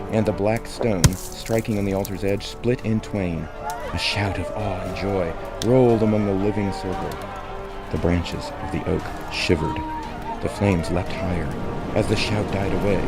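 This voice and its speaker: A man narrating a scripted story for an audio drama with measured, dramatic delivery. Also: low voice. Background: loud music.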